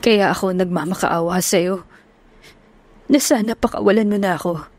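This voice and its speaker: A woman's voice: lowish voice, moderate pace, a little mellow, close to an everyday voice. A woman narrator voicing a young businessman who bitterly confronts his unfaithful girlfriend. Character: hurt, angry young man, a CEO.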